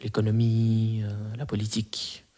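A man's voice: on the low side, completely flat delivery, unhurried, dark tone. A young man whose first language is Arabic, answering interview questions in a hesitant way with many fillers. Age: young adult. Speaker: guest.

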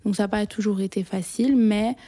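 A young woman from Belgium speaks in a soft-edged, mellow tone. Her pitch stays quite flat as she answers personal questions casually, pausing to search for words. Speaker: interview guest. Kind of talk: casual, personal interview talk.

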